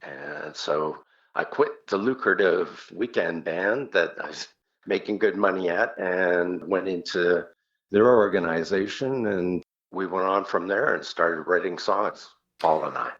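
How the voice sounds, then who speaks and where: A man's voice: low-pitched, a little slow, a bit bright. A man reminiscing in casual conversation as an interview guest, telling stories and acting out short quotes, on a dull, muffled recording. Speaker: interview guest, rock singer.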